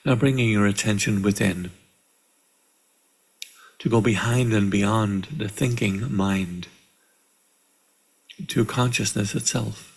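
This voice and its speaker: A man leading a guided meditation in a calm, measured way, with deliberate pauses between short phrases. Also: fairly low pitch, creaky, husky, rough recording. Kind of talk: guided meditation, slow and instructional. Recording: live online session.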